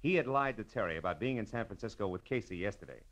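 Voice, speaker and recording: An actor playing a shrewd, insistent American private detective in a 1950s radio drama, with punchy, clipped delivery. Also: male voice, fairly low pitch, expressive, quick pace, a bit bright. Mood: urgent, insistent.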